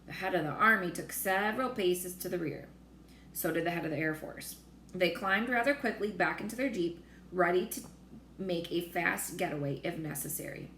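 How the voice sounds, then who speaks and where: Woman storybook reader, lowish voice, expressive narration, roomy echo, faint noise. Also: normal speed. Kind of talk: expressive children's read-aloud narration.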